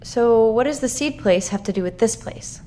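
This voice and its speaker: Audio drama: pushy, questioning female survivor, actress, high-pitched and animated. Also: normal speed, slight background noise, close to an everyday voice. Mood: demanding, curious.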